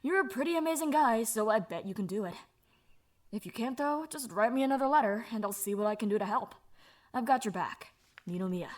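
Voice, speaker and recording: Woman doing a crude sweary young woman reading a letter, kinda high voice. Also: lively intonation, brisk, natural voice. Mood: offhand. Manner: casual, swearing and offhand.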